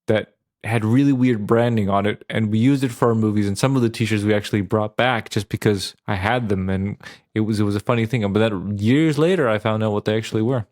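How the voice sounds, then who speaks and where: Give me a young man from Serbia with a slightly low voice. He chats loosely about films, rambling and laughing as he goes. He sounds like a relaxed podcast co-host. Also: brisk, hardly any pauses. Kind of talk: casual chat about films.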